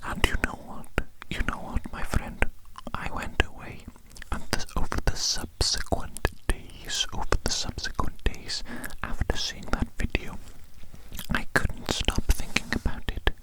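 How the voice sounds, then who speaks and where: A performer voicing a polite, eerily eager alien posing as a friendly doctor, in a breathy, repetitive, coaxing whisper for an up-close ASMR roleplay. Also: natural voice, low-quality recording. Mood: musing, coaxing. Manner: slow, repetitive, formal and coaxing. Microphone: very close microphone.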